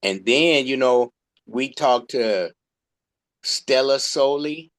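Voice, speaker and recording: A man talking casually as a co-interviewer, halting and rambling as he goes. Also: mid-range voice, a bit bright. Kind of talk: casual conversation.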